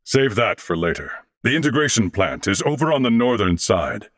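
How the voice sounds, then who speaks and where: A man voicing a courteous older alien warrior-scholar in a video game, speaking formally and eloquently with measured diction. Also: lowish voice, sing-song, a bit bright, dry recording, clean studio sound. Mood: composed, commanding. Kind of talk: video game character voice lines.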